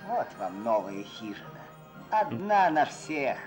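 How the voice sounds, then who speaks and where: A male storyteller in a Soviet cartoon fable. His voice sits in a middle range. Music plays clearly behind the narration. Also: mid-range voice, sing-song, measured pace. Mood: storytelling.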